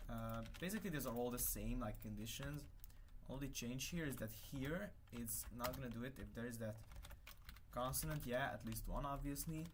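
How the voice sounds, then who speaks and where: Young man, Hungarian accent, mid-pitch, casual and hesitant, self-correcting, faint hiss behind. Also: moderate pace. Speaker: conlang creator. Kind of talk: casual screen-share explanation.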